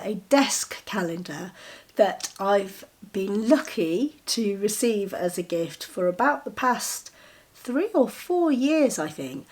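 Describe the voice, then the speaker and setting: A woman's voice: medium pitch, slightly rough. A woman with a slightly bunged-up voice from a cold, chatting enthusiastically to camera as she shows her gifts. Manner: chatty, enthusiastic, with soft giggles. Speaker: vlogger showing gifts. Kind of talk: casual show-and-tell to camera.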